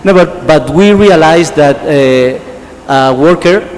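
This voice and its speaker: A middle-aged man from Spain with a strong Spanish accent, giving a conference talk in halting English, repeating words and putting questions to the audience. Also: on the high side, a little mellow. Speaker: conference speaker, physician. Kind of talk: conference presentation. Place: large conference hall. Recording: hall reverb, faint background ambience.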